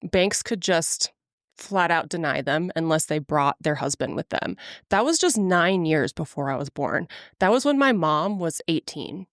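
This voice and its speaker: A woman's voice: deep voice, lively intonation, measured pace, no room sound, studio quality. A woman in her early forties hosting a podcast, talking in an earnest, chatty way and laughing easily. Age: 40s. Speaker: podcast host. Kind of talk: casual podcast chat.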